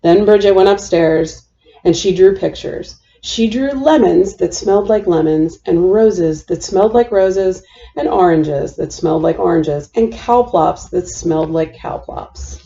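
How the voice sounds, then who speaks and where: A woman reading a children's picture book aloud as a storytime host, with a deliberate, repeating storytelling cadence. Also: lowish voice, creaky, mellow, dull audio.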